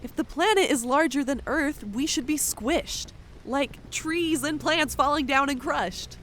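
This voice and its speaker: Casting: actress as argumentative female trooper, higher-pitched, incredulous and irritated, for an audio drama with faint noise behind. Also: a little slow, fairly bright tone. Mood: exasperated. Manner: exasperated, incredulous. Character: irritated, argumentative female soldier questioning alien physics.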